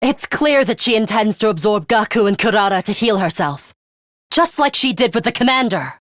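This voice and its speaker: A woman voicing a cold, aloof young woman fighter in a video game, with a composed, formal, deadpan and disdainful manner and a bloodthirsty streak. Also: mid-range voice, moderate pace, no room sound, clean studio sound, natural voice. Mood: cool, impatient. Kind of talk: English video game voice acting.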